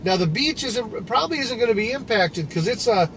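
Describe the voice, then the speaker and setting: A rambling man with a fairly high voice chats with his viewers while he drives. The recording is noisy, with clear road and car sound underneath. He keeps restarting his sentences as he talks. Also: lively intonation, brisk. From Michigan, USA. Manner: rambling, conversational, with frequent restarts and fillers. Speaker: livestream host. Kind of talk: casual live-stream talk while driving. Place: inside a car, driving.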